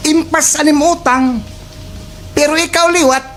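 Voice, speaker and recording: Woman doing cheeky waray kid, high voice, mocking, radio comedy, slight noise. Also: fairly even intonation, a little slow. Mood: teasing. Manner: mocking, punchy exclamations. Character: cheeky, teasing older child of the family. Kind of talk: slapstick radio comedy acting. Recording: faint background noise.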